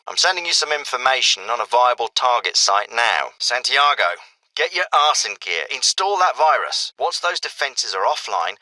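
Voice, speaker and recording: A commanding special forces team leader from a military game. His voice is very bright and forceful, cutting through a radio filter with a dry, close sound. He speaks in a clipped, businesslike way, focused on the mission. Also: medium pitch, no echo, rough recording. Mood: urging, focused.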